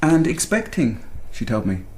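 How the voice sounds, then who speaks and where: An actor playing a police detective in a naturalistic radio thriller, casually chatty but probing and quietly insinuating. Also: male voice, mid-range voice, sing-song, normal speed, natural voice, poor audio. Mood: chatty, needling. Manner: casual but pointed, questioning.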